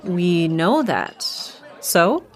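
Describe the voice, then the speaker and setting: An actress playing a cocky, teasing young trainee spy in an audio drama, with a playful manner. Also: female voice, fairly low pitch, big pitch swings, drawn-out words, heavily acted. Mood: urgent.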